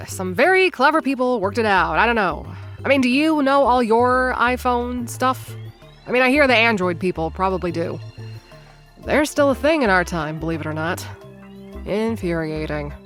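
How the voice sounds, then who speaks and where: A woman's voice: medium pitch, fairly bright tone. A woman narrating and playing a wry, anxious would-be influencer close to thirty, full of rambling self-deprecating asides. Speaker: narrator and protagonist. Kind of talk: first-person audio drama narration.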